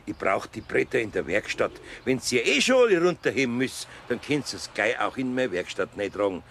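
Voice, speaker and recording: An actor playing an older master carpenter with a Bavarian accent, good-natured and slyly teasing, in a children's radio play. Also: male voice, mid-range voice, very animated, moderate pace, a bit bright, a little hiss or hum.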